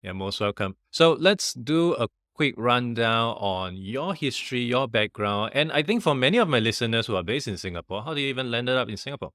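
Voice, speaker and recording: A man's voice: medium pitch, expressive, brisk, few pauses, a bit bright, dry recording, clean studio sound. A man hosting a podcast, reading a scripted introduction and then asking questions with friendly curiosity. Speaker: podcast host.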